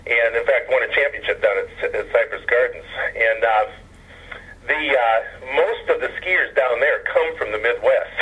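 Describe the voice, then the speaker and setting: Husky man on a tinny phone line. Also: medium pitch. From Hawaii. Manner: deadpan, self-mocking humor. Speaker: guest, columnist. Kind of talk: phone-in radio interview. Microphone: telephone.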